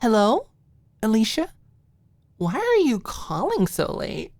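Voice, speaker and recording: A woman's voice: fairly high pitch, huge pitch swings, slow pace, darkish tone, no room sound, pitched above the performer's own voice, exaggerated voice. A woman voicing a bubbly, tipsy, teasing young woman in audiobook dialogue, with a giggly, playful manner. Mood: giddy, mock surprised.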